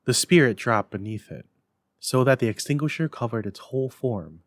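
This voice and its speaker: Guy doing dramatic story narration, mid pitch, expressive and emphatic, very little room sound. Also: normal speed, no echo, clean studio sound. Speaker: library staff story reader.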